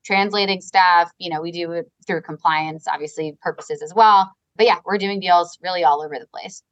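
A woman with a Long Island accent, answering interview questions in a fluent, rapid business manner. Her speech is dotted with "like" and "you know" as she explains her work over what sounds like a remote call. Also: on the low side. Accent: Long Island New York. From Long Island, New York. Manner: fast, fluent, filler-heavy with frequent "like" and "you know". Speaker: guest, real estate advisory co-founder.

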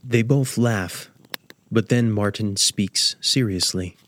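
A man's voice: lowish voice, slow, fairly bright tone. A man narrating a scripted radio drama, setting scenes and describing the action.

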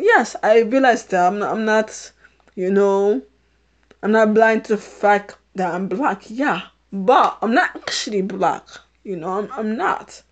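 A middle-aged woman from Haiti with a British-sounding accent, rambling through a casual solo podcast, repeating herself and laughing often. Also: mid-range voice. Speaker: podcast host.

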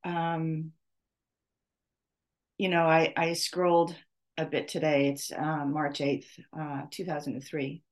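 Middle-aged female podcast host, low register, slow and hesitant, unscripted reflection. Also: flat delivery. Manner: halting, searching for words, with long pauses. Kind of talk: off-the-cuff spoken reflection.

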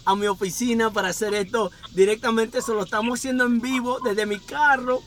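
A man's voice: extremely high pitch, steady tone, a little fast, a bit bright, slight background noise. A man with an Ecuadorian Spanish accent that has a few Dominican touches, hosting a casual interview from inside his car and telling meandering stories. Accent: Ecuadorian Spanish with some Dominican touches. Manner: chatty, meandering storyteller. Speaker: podcast host. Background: faint street sounds.

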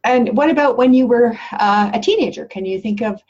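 A woman hosting a podcast, reading an introduction and then asking measured questions. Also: fairly high pitch, darkish tone, a little hiss or hum. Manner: measured, searching questions with fillers. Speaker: podcast host. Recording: dull lo-fi recording.

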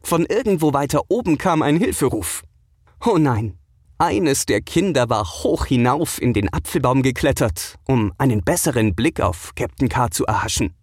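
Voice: medium pitch, lively intonation, normal speed, husky, no room sound, clean studio sound. A narrator reading a scripted children's audio adventure as a storyteller.

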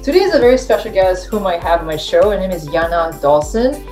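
Woman hosting a podcast, bit low-pitched, gushing and welcoming, soft music underneath. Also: animated, a little fast. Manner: effusive and welcoming. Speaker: podcast host. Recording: faint music behind.

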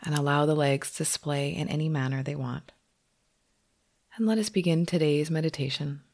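Woman meditation teacher, kinda low voice, gentle, takes her time w long pauses between phrases. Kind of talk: guided meditation.

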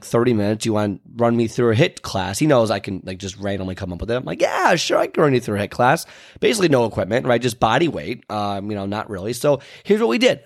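A man's voice: mid-range voice, darkish tone. A man with a stuffy, congested voice hosts a fitness show. He rambles casually, stopping and restarting with plenty of fillers as he recalls details. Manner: loose and chatty, with many fillers and restarts. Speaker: fitness podcast host.

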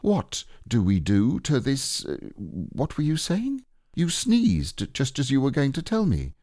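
A man's voice: mid-range voice, huge pitch swings, a little slow, darkish tone, dry recording, studio quality, pitched above the performer's own voice. A man voicing a humble, dreamy storybook bear of very little brain, hesitant and wistful. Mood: humble, puzzled. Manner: hesitant, wistful, simple-minded. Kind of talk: storybook character reading.

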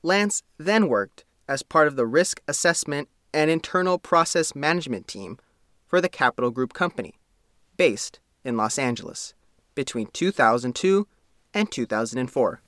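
A man reads a scripted feature story with very animated intonation. His voice sits a little high, and the recording is dry and close. The delivery is measured and formal, like a reporter presenting a profile. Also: dry recording. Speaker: narrator, reporter reading own profile.

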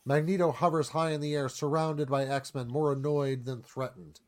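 A man's voice: a little high, fairly even intonation, normal speed, a little mellow. A man reading scripted stage directions as the narrator of a live radio theatre performance.

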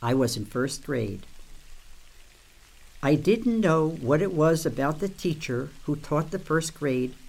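A woman in her seventies telling her life story in a measured, reflective way. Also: low voice, lively intonation, creaky, darkish tone, poor audio. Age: 70s. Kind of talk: personal storytelling.

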